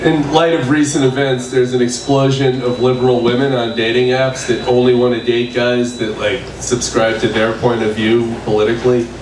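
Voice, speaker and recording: A man in his sixties doing a stand-up set at an open mic, delivering dry, deadpan one-liners. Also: medium pitch, moderate pace, few pauses. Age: 60s. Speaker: stand-up comedian. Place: open mic comedy venue. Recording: echoey room, distant mic, background noise.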